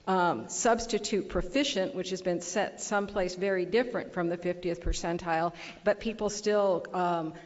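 A woman's voice: fairly low pitch, steady tone, normal speed, hardly any pauses. An older woman on a conference panel, answering thoughtfully with many fillers and restarts, speaking into a table microphone. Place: large conference hall. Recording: dull audio in a large reverberant hall.

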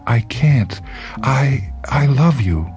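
A man's voice: mid-range voice, expressive, slow, a little mellow, faint music behind, voice pushed up. A man voicing an anxious, yearning woman in love, tentative and earnest. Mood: anxious, pleading.

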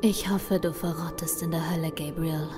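A woman's voice: deep voice, fairly even intonation, normal speed, music in the background, lightly acted. A woman playing a cruel, vengeful gang leader in a horror audio drama, taunting and menacing. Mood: cold, bitter. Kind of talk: German audio drama acting.

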